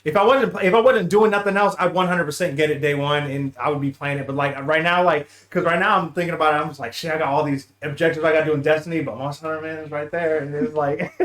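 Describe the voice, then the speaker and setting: A man's voice: fairly high pitch, animated, fast, fairly bright tone. A man chatting casually as a podcast guest, slangy and repetitive, often saying bro and man. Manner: slangy, repeats himself, peppers talk with bro and man. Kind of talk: casual gaming chat.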